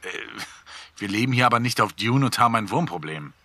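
A man's voice: mid-range voice, lively intonation, a little fast, bright, forceful tone, slightly rough. A man playing a bantering young podcaster in a German audio drama, casual and teasing. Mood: playful, bantering. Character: Marius, bantering young male podcaster reporting on a garden hole.

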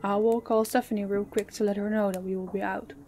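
A stern female company boss, acted by a woman in an audio drama. Her voice is mellow and mid-pitched, and she hands out orders with calm authority. Faint hiss sits behind her. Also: quick pace, lightly acted. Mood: curt, urgent. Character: stern, commanding female company boss, composed under stress. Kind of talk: audio roleplay acting.

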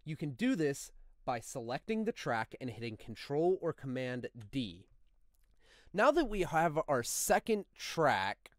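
Slow-paced man narrating a tutorial. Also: a little high, very animated, no echo, studio quality. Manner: instructional, deliberate. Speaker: tutorial host. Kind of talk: step-by-step software tutorial narration.

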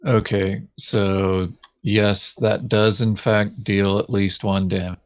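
A man's voice: lowish voice, level tone, slow, a bit bright, clean tone. A man chatting casually as he plays a board game, hesitantly thinking aloud. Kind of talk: casual game play chat.

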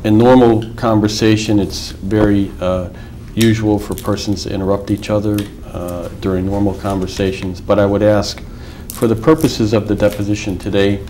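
A man questioning a witness as an attorney in a formal deposition, measured with filler pauses. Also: lowish voice, noisy background.

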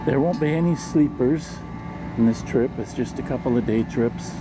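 A man in his sixties narrating a casual train travel vlog to a handheld camera, speaking haltingly in short phrases. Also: medium pitch. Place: outdoors at railway station and train yard. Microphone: handheld camera at arm's length. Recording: noisy outdoor camcorder with traffic and trains.

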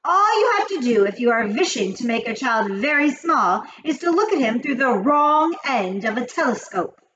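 Storybook witch leader: high, wildly animated, venomous, grandiose, commanding. Slightly dull recording. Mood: boastful, instructing. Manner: commanding, grandiose, drawn-out emphatic delivery. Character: imperious, venomous female witch leader, boastful and cruel. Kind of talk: storybook character voice.

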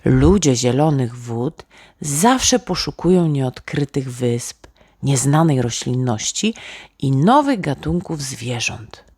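A woman storyteller narrating a bedtime tale for children in a gentle, expressive way. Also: a bass voice, husky, dry recording, studio quality. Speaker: storyteller, author reading own tale.

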